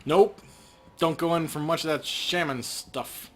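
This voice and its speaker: A man's voice: on the high side, steady tone, a little slow, a bit bright. A man voicing a surly, hostile younger meat merchant in a video game, curt and dismissive. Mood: bitter.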